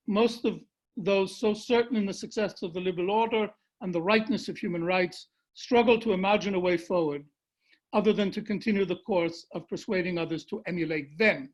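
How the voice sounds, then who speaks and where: Measured man reading a lecture. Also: high-pitched, rough recording. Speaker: seminar presenter. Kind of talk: reading a prepared academic paper, then answering questions.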